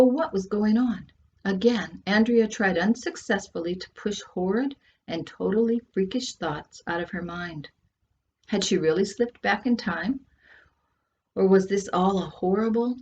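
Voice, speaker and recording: A Canadian woman reads children's fiction aloud in an expressive storytelling style, leaning dramatically on key words. Her medium-pitched voice has a husky edge. The recording is dull and lo-fi. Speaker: children's author reading from own book.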